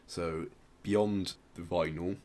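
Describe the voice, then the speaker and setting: Man, podcast host, very low voice, casual music discussion, rambling explanations, noticeable background noise. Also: fairly even intonation, slow, a little mellow.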